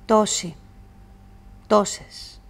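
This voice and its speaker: A woman reciting literary poetry in a measured, deliberate way, with pauses between phrases. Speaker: poetry reader.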